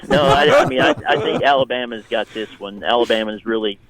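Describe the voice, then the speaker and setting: A man giving his take as a guest journalist in an unscripted sports radio interview, conversational and halting, restarting phrases as he goes. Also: lowish voice, a crowd in the background, rough recording.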